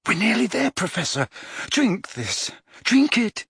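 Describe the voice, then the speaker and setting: A frightened teenage boy wizard pleads and reassures in a shaky, lifted voice, as a male narrator puts him on. The tone is husky and dry, with lively swings in pitch. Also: measured pace, no room sound, full character voice. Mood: desperate.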